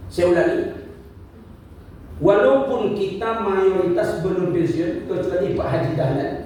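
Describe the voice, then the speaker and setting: A man's voice: high-pitched, measured pace, mellow, distant mic, a little hiss or hum. Man preaching in an echoey hall. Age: 50s. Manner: rhetorical questions, repeats key points, light jokes with the audience. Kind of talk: religious lecture.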